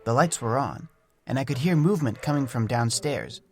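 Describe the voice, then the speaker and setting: A man voicing a gentle, naive, curious young pet creature, narrating an audio storybook in simple, thoughtful phrases with soft wonder. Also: mid-range voice, expressive, moderate pace, natural voice. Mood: quiet curiosity. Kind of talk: first-person storybook audio drama narration. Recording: music under the voice. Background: music.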